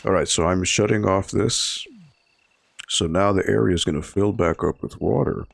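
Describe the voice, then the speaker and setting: A man in his thirties hosting a library livestream, hoarse and raspy from bronchitis, chatting casually with viewers and explaining each step as he plays. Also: low voice, moderate pace. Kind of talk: casual gameplay commentary to a live chat.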